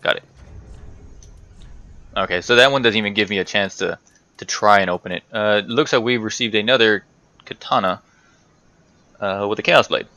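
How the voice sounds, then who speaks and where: Bright-voiced man doing gaming commentary. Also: on the low side, normal speed, pauses often, highs cut off. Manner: rambling, talks to himself and to game characters. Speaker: gaming video host. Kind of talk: casual video game playthrough commentary.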